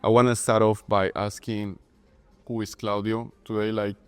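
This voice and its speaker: A man's voice: on the low side, level tone, normal speed, clean tone, no echo. A man hosting a café interview, asking friendly, loosely phrased questions. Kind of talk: casual interview.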